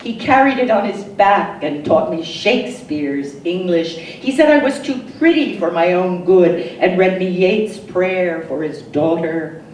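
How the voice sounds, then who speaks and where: An older American woman from New Jersey reads poetry dramatically, with solemn, deliberate phrasing and pauses. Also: fairly low pitch, darkish tone, reverberant, recorded from a distance, a little hiss or hum, poor audio. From Newark, New Jersey, USA. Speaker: poet.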